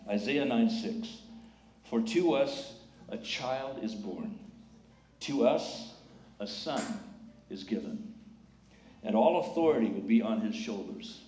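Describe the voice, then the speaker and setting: A man speaking as a pastor, welcoming and encouraging a congregation in measured phrases during a live church service. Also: mid-range voice, lively intonation, dark tone. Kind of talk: church welcome and scripture reading. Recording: echoey church sanctuary, clear background noise.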